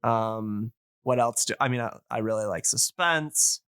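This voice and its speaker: A man's voice: medium pitch, measured pace, fairly bright tone, smooth, dry recording, clean studio sound. A man in casual podcast chat, talking in a rambling, hedging way as an enthusiast guest. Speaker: podcast guest and radio drama hobbyist.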